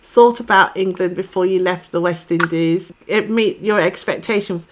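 A woman's voice: fairly low pitch, steady tone, moderate pace, slight background noise, low-quality recording. A woman interviewer who asks short, plain questions.

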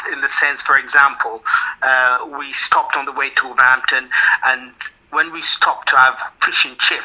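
An older man from Jamaica, recalling his past in an interview with a hesitant manner, often repeating words and chuckling softly. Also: a little high, low-quality recording. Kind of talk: reminiscing interview.

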